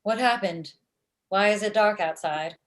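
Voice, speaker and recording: A woman's voice: mid-range voice, a little slow, natural voice. Woman acting a stilted, aggrieved female robot. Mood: puzzled. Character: aggrieved, easily won-over female robot matchmaker. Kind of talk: remote table read of a comedy script. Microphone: video call.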